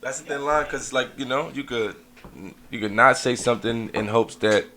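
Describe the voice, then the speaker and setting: A man's voice: medium pitch, moderate pace, pushed and bright. A man from Brooklyn, a rapper guest on a radio show, talking in laid-back slang with plenty of fillers. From Brooklyn, New York. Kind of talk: casual radio interview.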